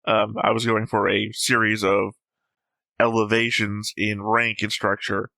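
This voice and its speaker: A man with a bright, forceful, slightly low voice picks apart an episode in casual chat. He reasons things out with jokes and little acted-out quotes, recorded close and dry. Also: level tone, a little slow, gravelly, no room sound. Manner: analytical, jokey, acts out quotes. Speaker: reaction show co-host. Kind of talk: casual discussion reading notes.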